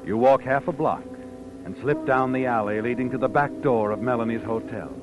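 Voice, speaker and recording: An actor playing a knowing, insinuating narrator who taunts like a conscience, in dramatic 1940s radio suspense style. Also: male voice, medium pitch, a little fast, natural voice. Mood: knowing, ominous. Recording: dull old radio broadcast with music.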